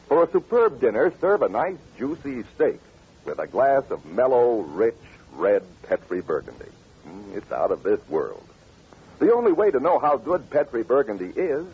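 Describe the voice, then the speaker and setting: Old time american radio host guy, swoopy sales delivery, dull vintage sound. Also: medium pitch. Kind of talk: 1940s radio sponsor pitch and hosting. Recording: muffled, rough old radio recording.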